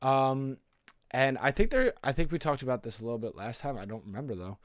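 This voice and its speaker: A man's voice: mid-range voice, expressive, a little fast, no echo. Casual man chatting, close dry sound. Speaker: podcast co-host. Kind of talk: casual pop culture podcast chat.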